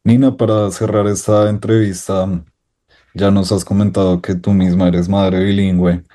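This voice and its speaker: A man interviewing a guest, asking measured, formal questions. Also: sits low, mellow.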